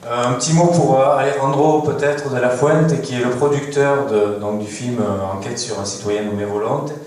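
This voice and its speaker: A man's voice: medium pitch, fairly even intonation, normal speed, a little mellow. Hesitant male host in echoey hall. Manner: hesitant, many fillers. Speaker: festival host. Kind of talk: introducing a screening evening. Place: cinema hall. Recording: echoey hall, distant mic.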